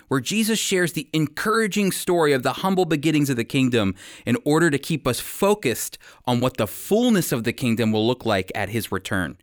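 An American man hosting and teaching the Bible on radio in a prepared, deliberate and emphatic storytelling delivery, close on a studio microphone. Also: mid-range voice, no room sound.

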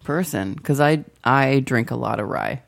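A woman's voice: very deep voice, animated, measured pace, vocal fry. A woman co-host in casual chat, with a wry, blunt manner and casual swearing.

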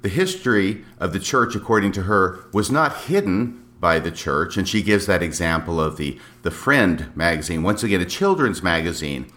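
A man's voice: low-pitched, lively intonation, normal speed, few pauses, fairly bright tone. A man giving conversational, argumentative commentary as a co-host, speaking emphatically and laying out his case point by point.

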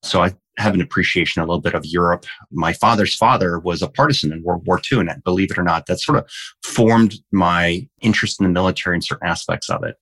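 A man in his fifties, a native Danish speaker from Denmark, telling his life story as a guest in a conversational interview in a measured, reflective way. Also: low-pitched, fairly bright tone.

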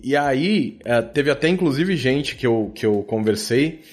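A man's voice: mid-range voice, expressive, measured pace. A Brazilian man in a casual podcast conversation, explaining his creative work in an informal, colloquial way as a guest. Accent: Brazilian Portuguese.

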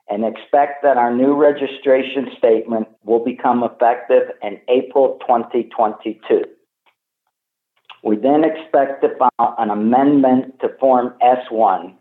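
Over a narrow, echoing phone line, a man delivers a scripted financial presentation. His pitch sits in the middle range, and he reads formally from prepared remarks in the role of a company executive. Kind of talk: scripted earnings call presentation. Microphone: telephone conference call. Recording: tinny phone line with echo.

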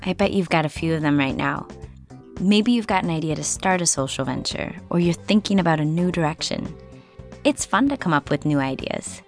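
A woman hosting a podcast, narrating scripted segments in a calm, measured way. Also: on the low side. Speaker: podcast host.

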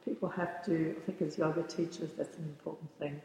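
A woman's voice: sits low, fairly even intonation, brisk, darkish tone. Reflective woman in her sixties, echoey room. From born in the United States, raised in the Bahamas. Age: 60s. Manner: thoughtful, searching for words, frequent restarts. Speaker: yoga teacher being interviewed. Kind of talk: reflective interview answers. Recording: very echoey room with long reverb.

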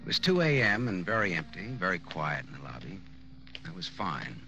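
An actor playing a wry, world-weary private detective, clipped and deadpan, in 1940s radio drama style. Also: male voice, deep voice, moderate pace, exaggerated voice. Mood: terse, weary. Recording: dull old radio recording with music.

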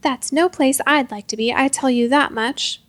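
Woman putting on a wispy old granny ghost, dismissive, highish, storybook. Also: normal speed, close to an everyday voice. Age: older.

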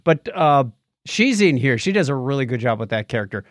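A man's voice: mid-range voice, big pitch swings, brisk, no room sound, studio quality. A middle-aged man chatting casually and conversationally as a podcast co-host. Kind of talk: casual chat.

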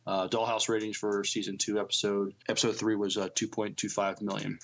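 A man with a fairly low voice co-hosts a podcast. He talks through news items in an informal way, somewhat halting, catching on the starts of words. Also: level tone, vocal fry. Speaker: podcast co-host. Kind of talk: casual chat and news reading.

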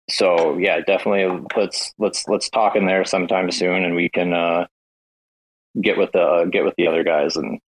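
A man hosting a casual online audio chat, talking haltingly with many fillers and restarts. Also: low voice, low-quality recording. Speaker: host.

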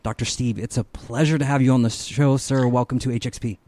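A man's voice: medium pitch, a little fast, dry recording. A man hosting a podcast, introducing his guest and asking questions with enthusiasm. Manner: enthusiastic, rising into excited questions. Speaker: podcast host. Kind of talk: podcast interview.

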